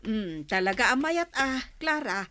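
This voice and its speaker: A woman's voice: on the high side, animated, unhurried, bright, forceful tone. A woman playing a chatty, teasing village housewife in a conversational radio drama.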